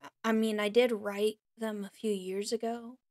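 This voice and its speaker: A woman playing a frightened but defiant young female captive in an audio roleplay, pleading and indignant in a halting way. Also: mid-range voice, level tone, a little mellow, dry recording, clean studio sound, lightly acted. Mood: worried.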